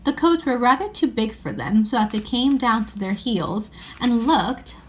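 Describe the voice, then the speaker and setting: A woman reading a children's novel aloud in an expressive storybook style. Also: a little high, normal speed, slight background noise.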